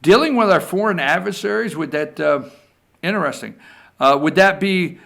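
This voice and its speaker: A man with a high, creaky voice hosts a political talk show. He speaks off the cuff and with strong opinions. His delivery is exasperated and emphatic, and he likes to say a phrase again for weight. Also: lively intonation, moderate pace, a bit bright.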